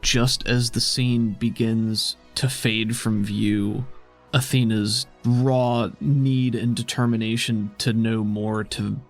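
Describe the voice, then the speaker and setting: Male game master, dramatic tabletop narration, average pitch with gravelly edge. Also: little pitch movement, takes it slowly, soft background music.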